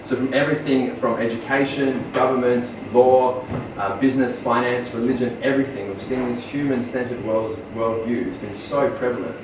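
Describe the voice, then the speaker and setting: A man's voice: medium pitch, steady tone, moderate pace, hardly any pauses. A man with an Australian accent giving an earnest public presentation, with many ums and restarts. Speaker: speaker. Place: small venue, far from the mic. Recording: echoey room from far off, noisy.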